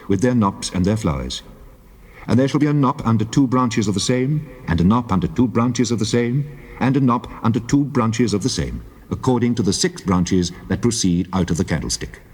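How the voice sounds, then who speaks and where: A man playing God as a solemn, commanding deity, giving measured, declamatory instructions in a dramatized scripture reading. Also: fairly low pitch, darkish tone, breathy edge. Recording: long cavernous reverb.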